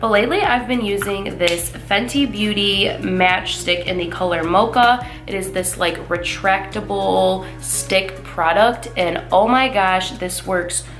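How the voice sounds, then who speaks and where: A young woman talks through a makeup tutorial in an upbeat, chatty way, full of little fillers. Her voice sits at an everyday middle pitch and sounds stuffy and nasal from a cold. Soft music plays faintly underneath. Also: a little slow, hardly any pauses. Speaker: beauty vlogger. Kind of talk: casual makeup tutorial talk.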